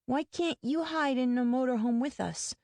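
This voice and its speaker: A woman's voice: high voice, moderate pace, a little mellow, no room sound, pitched above the performer's own voice. A woman voicing an earnest young boy with a raised, light voice. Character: young boy, brave and curious.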